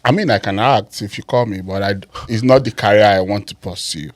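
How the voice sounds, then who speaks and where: A man's voice: fairly low pitch, a little fast, no echo. A man hosting a casual chat, earnest and stammering at the start of his sentences.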